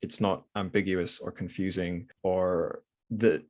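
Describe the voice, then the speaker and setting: A man's voice: low voice, flat delivery, a little slow, darkish tone. A Scottish man hosting a podcast, talking casually in a rambling way with many restarts and fillers. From Scotland. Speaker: podcast host. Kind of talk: casual conversation.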